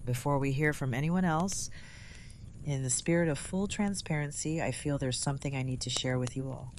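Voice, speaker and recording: A woman's voice: very deep voice, a little mellow, a little hiss or hum. Composed female leader acted by a woman, formal and authoritative, radio play with light background ambience. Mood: solemn, measured. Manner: formal, measured. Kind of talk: audio drama acting.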